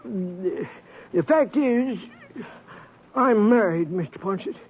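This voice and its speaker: High-pitched, flustered old bank teller. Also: male voice, lively intonation, slow, breathy edge, exaggerated voice. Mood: anxious, confessing. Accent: American. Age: older. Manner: nervous, stammering, folksy. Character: timid, henpecked gray-haired bank teller in a western. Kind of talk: old-time radio western acting. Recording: tinny, narrow-band old radio broadcast.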